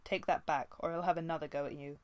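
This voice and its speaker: A woman's voice: deep voice, steady tone, fast talker, no room sound, natural voice. A woman voicing a burdened young male warrior cat in an audiobook, speaking in a serious, firm manner. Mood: gruff. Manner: serious, firm, rough-edged.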